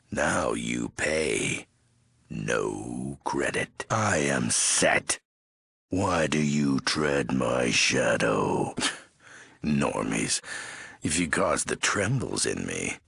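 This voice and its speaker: An actor voicing a menacing, weary ghoul overlord in a video game, raspy and gravelly, speaking in terse, commanding threats with odd broken syntax. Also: male voice, very deep voice, fairly bright tone, no echo, heavily acted. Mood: cold menace. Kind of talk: video game character voice acting.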